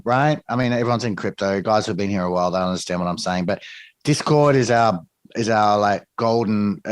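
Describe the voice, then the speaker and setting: A man with an Australian accent, a guest on an interview, talking briskly and emphatically and often ending points with a tag question. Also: on the low side, dry recording. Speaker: guest, crypto project team member. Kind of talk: casual interview talk.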